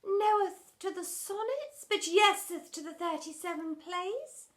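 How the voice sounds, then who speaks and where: A melodramatic storybook drama teacher, acted out by a woman reader, very high and swooping. She gushes in grand mock-Shakespearean phrases, theatrical and wobbly with feeling, and draws her words out slowly. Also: lively intonation. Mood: hopeful, pleading. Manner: theatrical, wobbling with emotion, mock-archaic speech. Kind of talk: children's storybook character voice.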